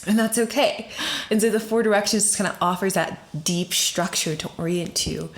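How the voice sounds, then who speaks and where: A woman's voice: mid-range voice, moderate pace. A woman speaking as a podcast guest, explaining ideas in an informal, reflective way, searching for her phrasing and posing rhetorical questions. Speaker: podcast guest, story doula and facilitator.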